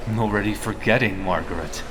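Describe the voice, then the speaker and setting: A man's voice: lowish voice, fairly quick, lightly acted. A man voicing a wry, easygoing vampire follower in a scripted fantasy drama. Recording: clearly noisy background.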